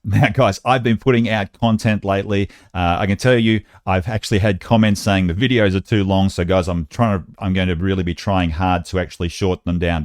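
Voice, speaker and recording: A man's voice: deep voice, darkish tone, no echo, studio quality. A man with an Australian accent hosting an informal explanatory online video, talking conversationally and straight to his viewers. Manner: conversational, addresses viewers directly. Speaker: YouTube channel host and presenter.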